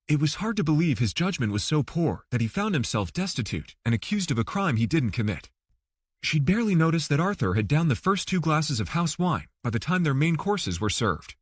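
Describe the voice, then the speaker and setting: A man's voice: on the high side, big pitch swings, a little fast, slightly rough, no echo. A man reading a thriller novel aloud as an audiobook narrator. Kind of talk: scripted fiction narration.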